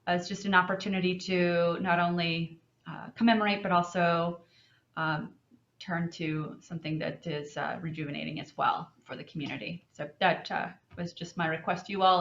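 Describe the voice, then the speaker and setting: A woman giving a staff report at a city meeting, halting with many fillers and restarts. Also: fairly low pitch, a bit bright, echoey room, lo-fi sound.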